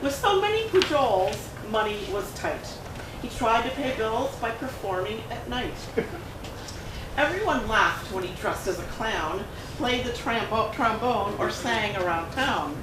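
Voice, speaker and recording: Woman doing a lively storybook read-aloud. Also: on the high side, a little slow. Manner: expressive rhyming delivery with bouncy emphasis. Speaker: children's book author. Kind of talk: dramatic picture-book read-aloud. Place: art gallery event room. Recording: echoey room, distant, noisy.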